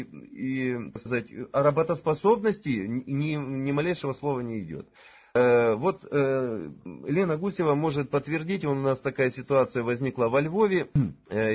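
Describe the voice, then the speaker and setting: A man in his forties giving a conference talk to promote a supplement. He speaks hesitantly and fills his pauses with drawn-out "uh"s. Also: medium pitch, husky, muffled recording. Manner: hesitant, many drawn-out "uh" fillers. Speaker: presenter at a sales conference.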